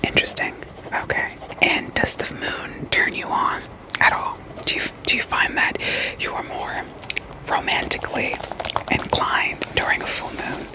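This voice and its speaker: A woman whispering an ASMR roleplay as a therapist, in a soft, deadpan, gently questioning manner. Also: measured pace, rough recording.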